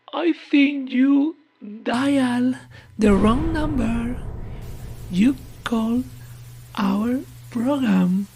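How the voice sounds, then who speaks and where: A woman voicing a menacing radio host who taunts in a slow, mock-polite way, in a horror story. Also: high voice, creaky, darkish tone, husky, voice pushed up, heavily acted, poor audio.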